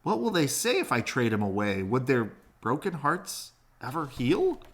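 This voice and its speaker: A man reading a children's picture book aloud in an expressive storytelling way. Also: medium pitch, normal speed.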